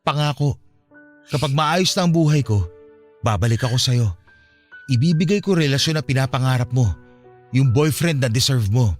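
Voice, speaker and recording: A man's voice: medium pitch, moderate pace, pauses often, soft background music, lightly acted. A man with a Filipino accent playing an earnest, wounded young man, pleading emotionally in a radio drama. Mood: earnest, tender.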